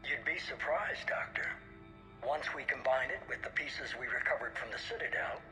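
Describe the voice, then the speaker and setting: An actor playing a cold, measured, commanding leader of a shadowy organisation in a video game. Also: male voice, medium pitch, sharp tone, low-quality recording. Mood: cool, dismissive. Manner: measured, authoritative, dismissive. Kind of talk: video game cutscene acting. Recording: music behind the voice.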